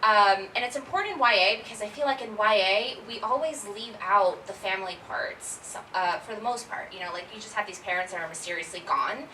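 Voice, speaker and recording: A woman's voice: mid-range voice, brisk, few pauses, pushed and bright, slight background noise. A woman born in Ecuador and raised in Queens, speaking as an author on a panel, chatty and thinking aloud. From Ecuador, raised in Queens, New York. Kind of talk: casual panel talk. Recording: echoey room, from a distance.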